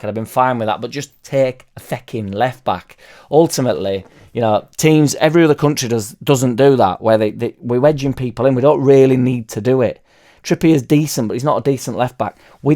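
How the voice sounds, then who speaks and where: A young man, hoarse from losing his voice, ranting casually about football with loud bursts and swearing. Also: mid-range voice. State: hoarse, partly lost voice. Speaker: football fan vlogger. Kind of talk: casual rambling fan vlog.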